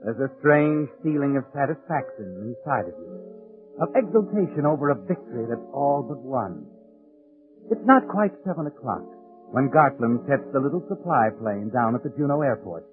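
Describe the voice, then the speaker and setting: A man's voice: mid-range voice, expressive, moderate pace. A man narrating a 1940s radio suspense drama in an ominous, insinuating way. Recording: old 1940s radio, narrow muffled band. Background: dramatic music.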